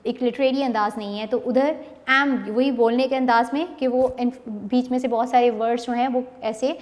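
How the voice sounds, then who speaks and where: A Pakistani woman teacher giving an online English lesson, explaining a poem line by line in a mix of Urdu and English and reading the verses slowly. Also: a little high, fairly even intonation, a little mellow, big-room sound. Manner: slow, reads poem lines drawn out.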